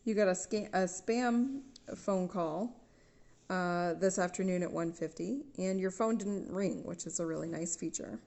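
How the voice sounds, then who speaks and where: A woman's voice: on the low side, unhurried, gravelly, a little mellow. A woman with an American accent giving a casual, patient, step-by-step how-to at home. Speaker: family member giving a phone tutorial. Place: indoors at home.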